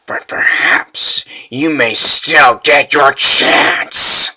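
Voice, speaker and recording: A man voicing a mysterious imprisoned female spirit in a comic dub, hushed and sinister. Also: mid-range voice, extremely slow, breathy edge, exaggerated voice. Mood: menacing.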